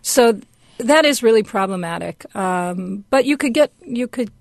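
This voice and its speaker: A woman answering questions as a radio guest in a measured, explanatory, conversational way. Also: a little high, lively intonation, creaky, dry recording.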